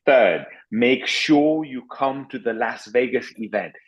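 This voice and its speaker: A man's voice: mid-range voice, animated, takes it slowly, husky, highs cut off. A man giving a motivational business talk on an online group call, speaking in short phrases.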